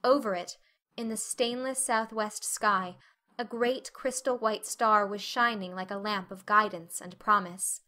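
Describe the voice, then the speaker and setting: A female audiobook narrator with a bright, crisp-edged voice. She reads literary prose expressively, in a measured storytelling manner. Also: medium pitch, steady tone. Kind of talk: dramatic scripted narration of a novel.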